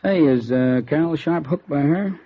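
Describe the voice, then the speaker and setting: Breezy, streetwise cop, muffled sound. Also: male voice, on the high side, normal speed. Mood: casual, sardonic. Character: breezy, streetwise, wisecracking New York police detective. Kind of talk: 1950s radio noir acting. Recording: muffled vintage radio recording.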